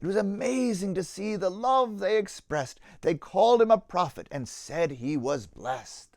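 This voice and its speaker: A man with a high voice reads aloud for children as a pastor would at storytime. His delivery is theatrical and rhythmic, with very lively intonation. The sound is dry and free of room echo. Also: a little slow. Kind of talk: expressive children's storybook reading.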